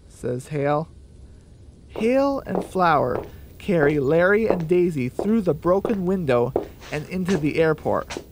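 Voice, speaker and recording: A man narrating a scripted radio drama story in measured sentences. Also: a little high, slight background noise.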